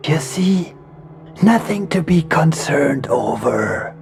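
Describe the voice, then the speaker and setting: A man putting on an unsettling, malformed stranger speaks in a high, creaky, breathy voice. Each question comes out very slowly and insinuatingly. Faint creepy music hums behind him. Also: big pitch swings, darkish tone, full character voice. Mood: soothing. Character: eerie malformed man with ill-fitting skin.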